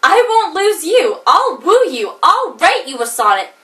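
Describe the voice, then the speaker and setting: A woman acting out a jilted wife who jokes to keep from crying. Her very high, bright voice swings widely between wry jabs and fragile moments. It is heard from a distance, with room echo around it. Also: big pitch swings, measured pace, heavily acted. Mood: wistful. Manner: wry, theatrical, teetering between laughter and tears. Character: jilted wife, laughing through tears. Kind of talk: comedic monologue performance.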